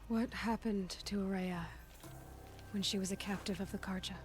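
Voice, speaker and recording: A woman acting as a young huntress and leader in a game drama. She is direct and steady, with a natural mid-range voice and some music faintly behind. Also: medium pitch, normal speed, lightly acted. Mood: thoughtful, concerned. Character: frank, steady young female outsider hunter turned chieftain.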